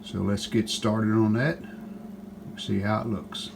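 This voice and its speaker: A man with a fairly low, gravelly voice walks through a repair job step by step. He talks casually and plainly, pausing mid-sentence, over some background noise. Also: little pitch movement. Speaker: host of a restoration how-to video. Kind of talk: casual hands-on demonstration narration.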